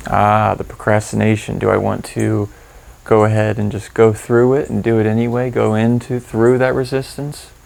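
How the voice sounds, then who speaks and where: A man leading a guided meditation in an informal, candid and gently instructive way. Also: on the low side, creaky.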